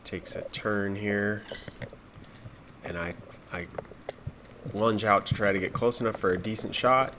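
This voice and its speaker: A man with a cold and a stuffed-up nose, narrating his spearfishing dive footage in a relaxed, matter-of-fact way. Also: fairly low pitch, measured pace, long pauses, heavy background noise. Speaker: spearfishing vlogger.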